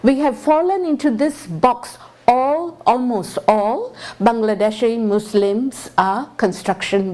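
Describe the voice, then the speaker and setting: A woman's voice: on the high side, dark tone. A woman from Singapore with a Singaporean accent giving an explanatory, conversational public talk, earnest and fond of rhetorical questions. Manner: earnest, rhetorical questions, tag words like "right?". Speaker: guest speaker.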